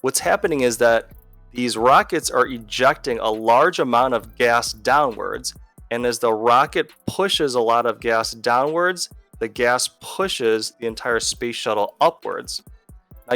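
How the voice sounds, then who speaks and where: A man's voice: medium pitch, a bit bright, dry recording. A man explains as a teacher in a video lesson, conversational and going step by step. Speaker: physics teacher.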